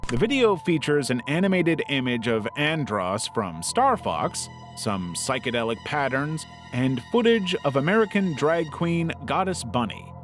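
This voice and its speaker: Male narrator, scripted video essay, animated intonation, faint music behind the voice. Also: mid-range voice, a little slow, few pauses. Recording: music under the voice. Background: background music.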